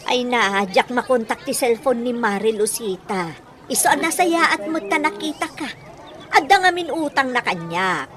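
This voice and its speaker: A woman's voice: on the high side, lively intonation, moderate pace, a crowd in the background, lightly acted. A woman acting a chatty, insistent neighbour with an Ilocano accent in a comedy radio drama. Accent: Filipino Ilocano. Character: chatty, insistent female neighbour chasing a debt.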